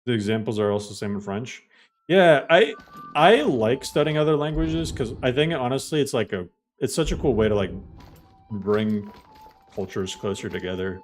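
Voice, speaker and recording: A man's voice: medium pitch, expressive, moderate pace, faint music behind. A man live-streaming a game, chatting loosely with viewers and walking them through steps, with lots of "like" fillers. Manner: explains things step by step to viewers. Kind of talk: casual live-stream chat while playing.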